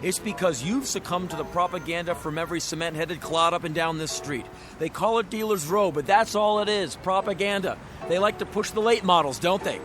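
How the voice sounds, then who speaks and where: A high-voiced male used-car salesman played by an actor in a radio drama, glib and pushy. He wheedles and blusters in florid, folksy sales patter, with faint music behind him. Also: fairly quick, hardly any pauses, lightly acted. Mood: indignant, persuasive.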